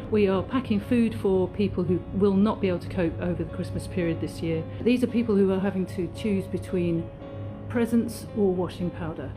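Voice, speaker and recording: A middle-aged woman speaks seriously in a mid-pitched voice, as a charity worker making an appeal. Plucked guitar plays clearly behind her. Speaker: community food charity worker. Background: plucked guitar music.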